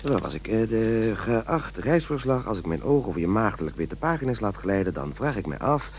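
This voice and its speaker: Gravelly, pompous amateur diarist. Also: male voice, fairly low pitch, very animated, normal speed, slight background noise. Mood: pompous, hesitant. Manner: pompous dictation, muttering self-corrections. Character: fussy, self-important henpecked man writing his journal. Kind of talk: radio play monologue.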